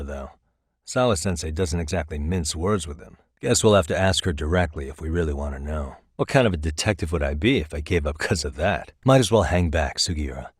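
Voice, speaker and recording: A man's voice: low voice, slightly rough, dry recording, clean studio sound. A man playing a streetwise private detective in a video game, cool, wry and dogged, with an American accent and a casual, conversational delivery. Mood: serious, probing. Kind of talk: English video game voice acting.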